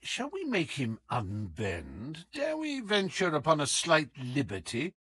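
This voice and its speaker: A male narrator plays a suave gentleman detective of classic storybook fiction. He is affable, formal and teasing, with swooping intonation lifted above an ordinary talking pitch. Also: huge pitch swings, unhurried, slightly rough, dry recording, heavily acted. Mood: pleased, playful.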